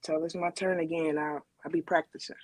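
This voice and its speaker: A woman's voice: sits low, little pitch movement, fairly quick, a little mellow, dry recording, rough recording. A woman from Kansas City with an American accent, speaking casually and briefly as an auditioner. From Kansas City, Missouri, USA. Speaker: first-time auditioner, rapper.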